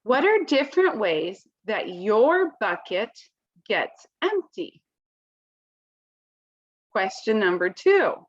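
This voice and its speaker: A woman reading a picture book aloud to children, expressively, pausing to explain it in simple words. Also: medium pitch, very animated, lo-fi sound.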